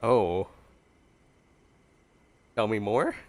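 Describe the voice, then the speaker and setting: A man's voice: fairly low pitch, expressive, extremely slow, a little mellow, dry recording. A man giving casual, chatty, playful reaction commentary as a gaming streamer. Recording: game music behind the voice. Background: game music.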